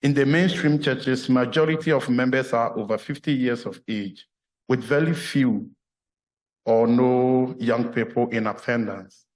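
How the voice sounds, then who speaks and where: A man from Ghana with a Ghanaian accent, a clergyman giving a measured, earnest formal address at a church meeting. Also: medium pitch, rough recording.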